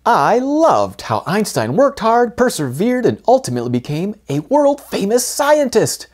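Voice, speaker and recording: A man in his forties hosting a children's storytime, reading aloud in an expressive, deliberate, sing-song way. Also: high voice, dry recording, studio quality. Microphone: lapel microphone.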